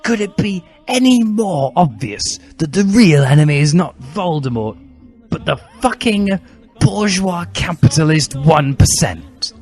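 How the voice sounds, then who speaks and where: A man's voice: a little high, very animated, measured pace, a little mellow, soft background music, pitched above the performer's own voice. A man voicing a smug, fervent teenage boy revolutionary in a preachy, ranting manner from a story reading. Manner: ranting, preachy, foul-mouthed emphasis.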